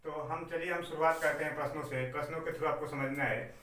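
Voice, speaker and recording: A man's voice: fairly high pitch, steady tone, a little fast, bright, forceful tone. Young man teaching maths, asks and answers his own questions, recorded from a distance in an echoey room. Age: 20s. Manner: explains step by step with questions. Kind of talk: exam-prep maths lesson. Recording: echoey room, mic far off.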